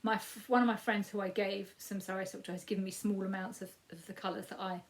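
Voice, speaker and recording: A woman in her fifties with a Yorkshire accent and a croaky voice, giving a rambling, casual crafting update with frequent ums. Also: medium pitch, flat delivery, quick pace. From Yorkshire, England. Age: 50s. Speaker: crafting podcast host.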